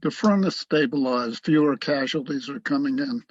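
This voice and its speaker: A man playing a tipsy, cynical army doctor in a radio play, blunt and weary, with a gravelly, husky voice. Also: mid-range voice, moderate pace, no room sound, highs cut off, natural voice. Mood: bitter, contemptuous. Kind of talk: dramatic radio play acting.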